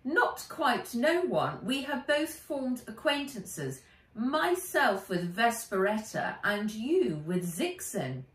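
A woman's voice: a little high, sing-song, slow, voice pushed up. A woman voicing a prim, formal furry robot pet with a very English accent. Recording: echoey room, voice a little far off.

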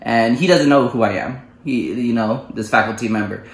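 A man's voice: mid-range voice, normal speed. A man in his thirties from the United States, telling a personal story to camera with many fillers and restarts. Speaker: vlogger. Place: small living room, indoors. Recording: echoey room.

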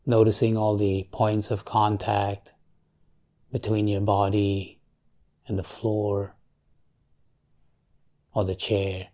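A man leading a guided meditation in a calm, measured way, leaving long pauses between short phrases. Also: lowish voice.

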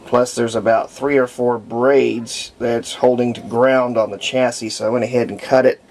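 An American man in his fifties narrates hands-on repair work unscripted, thinking aloud in a halting way as he goes. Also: mid-range voice, little pitch movement, a little mellow. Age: 50s. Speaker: hobbyist radio restorer. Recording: clear background noise.

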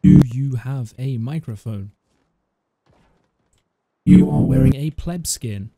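A man's voice: mid-range voice, slow pace, creaky, mellow, slight background noise. A man talking casually and trolling in game voice chat, with a mock-bossy, sarcastic manner. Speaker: gaming video maker.

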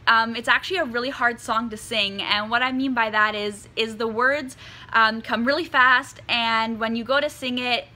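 A young woman in her twenties, a singer hosting her own vlog, giving earnest, chatty advice to camera with plenty of "um"s and a slightly croaky voice. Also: on the high side, sharp tone. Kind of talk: casual advice talk to camera. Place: indoors in front of a dark curtain.

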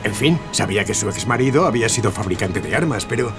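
An actor playing a courteous, flattering movie magnate in an audio drama, formal and a little hesitant. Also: male voice, on the low side, fast, over music, close to an everyday voice. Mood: impressed, wistful.

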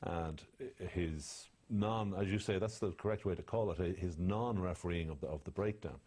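Deep husky man, blunt and indignant. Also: normal speed. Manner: blunt, indignant, drops quiet and low when condemning. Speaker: rugby analyst. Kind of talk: studio match analysis.

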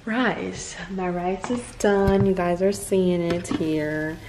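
A woman's voice: lowish voice, steady tone, slow. Young woman vlogger, casual cooking chat to the camera, roomy kitchen with sizzling pans. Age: 20s. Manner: chatty, playful, talks to viewers while cooking. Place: home kitchen, indoors. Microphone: camera on the counter. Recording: echoey kitchen with frying noise.

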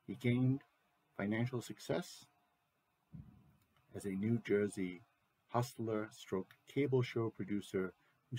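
A man in his sixties giving a measured, halting promotional announcement and reading to camera as an author, recorded on a webcam mic in a room at home. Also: fairly low pitch, darkish tone, slightly rough, rough recording. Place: small room at home, indoors. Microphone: computer webcam microphone at desk distance.

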